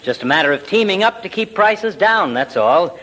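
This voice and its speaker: An actor playing a wisecracking middle-aged doctor, gruff but fond, with dry, teasing sarcasm in 1940s radio comedy style. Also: male voice, high voice, expressive, moderate pace, fairly bright tone. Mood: exasperated, sardonic.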